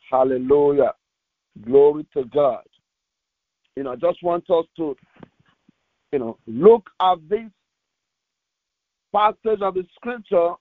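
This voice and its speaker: High-voiced male pastor on a phone-like line. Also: dry recording. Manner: deliberate, emphatic delivery with short phrases. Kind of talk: scripture reading and sermon. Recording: tinny, narrow phone-like audio.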